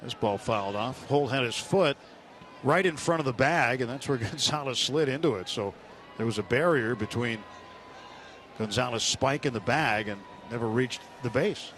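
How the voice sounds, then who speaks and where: A male baseball play-by-play announcer with a mid-range voice. He narrates the game live for radio from a stadium booth. There is clear outdoor background noise throughout. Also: mid-range voice, moderate pace, a bit bright.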